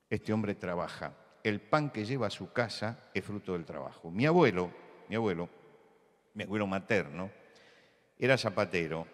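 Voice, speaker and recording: A man from Buenos Aires with a Rioplatense accent, preaching a sermon full of anecdotes, who repeats key words and rises to loud insistence. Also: lowish voice, in a large hall. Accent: Rioplatense Spanish. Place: church hall.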